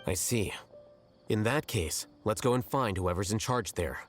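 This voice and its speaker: An actor playing a blunt, aloof male scholar in a game, measured and condescending. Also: on the low side, faint music behind, lightly acted. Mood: cool. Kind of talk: game voice acting.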